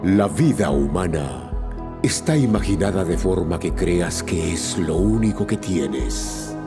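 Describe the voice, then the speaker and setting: A man reciting poetry solemnly, in measured, dramatic phrases. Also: sits low. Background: soft new-age music.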